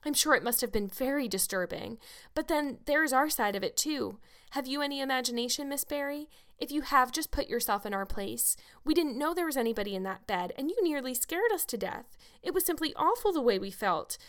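A woman's voice: on the high side, lively intonation, fairly quick, fairly bright tone. A woman voicing an eager, dramatic young orphan girl, earnest and wordy, in a storybook reading.

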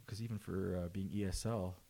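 A man's voice: low-pitched, fairly even intonation, fairly quick, creaky, dark tone. A man hosting a podcast who interviews his guest casually and reacts often with "wow". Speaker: podcast host.

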